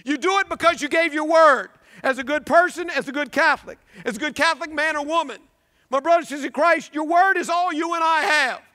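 High-pitched, forceful preaching man. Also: a little fast, long reverb tail. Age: middle-aged. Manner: emphatic, exhortative, with rhetorical questions and commands. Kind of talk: homily, preaching. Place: outdoors at an altar before a church building.

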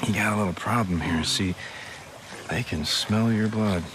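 A low-pitched, gruff biker played by a male actor in a video game scene. He drawls with cold, taunting sarcasm and a menacing edge. Loud outdoor and engine noise surrounds the voice. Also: moderate pace, close to an everyday voice. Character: gruff, menacing outlaw biker man, coldly sardonic. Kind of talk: naturalistic video game cutscene acting.

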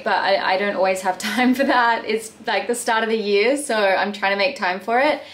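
Chatty woman answering questions to camera. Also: mid-range voice. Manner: chatty and earnest, with frequent "like" and "um". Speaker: bakery owner. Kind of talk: casual Q&A to camera. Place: bedroom at home.

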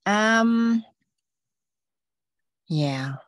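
A woman's voice: medium pitch, expressive, very slow, dry recording. A woman patiently walking viewers step by step through knitting stitches in a live online demo. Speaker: knitting instructor.

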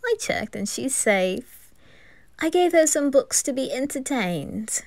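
A woman voicing a playful, eerie male undertaker in an anime fan roleplay, teasing and drawn-out. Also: high voice, very animated, a little mellow, no room sound, voice pushed up, full character voice.

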